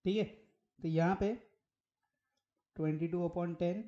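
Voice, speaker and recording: Hoarse male maths teacher, mellow tone. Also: high voice, steady tone, slow, dull audio. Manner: works step by step, asking rhetorical questions. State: hoarse from a sore throat. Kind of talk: whiteboard maths lesson.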